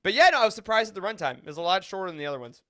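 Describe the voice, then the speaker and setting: A man co-hosting a podcast in casual chat, with an animated, jokey, emphatic way of talking. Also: sits high, very fast. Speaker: podcast co-host.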